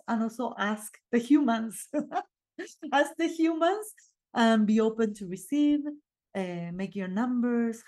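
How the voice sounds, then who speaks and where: A woman's voice: medium pitch, a little mellow. Chatty woman, emphatic and informal. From Argentina. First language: Spanish. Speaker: podcast host. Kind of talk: casual personal monologue with life advice.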